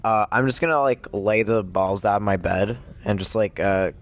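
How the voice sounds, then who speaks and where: Male game player, lowish voice, casual chat. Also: moderate pace, a little hiss or hum.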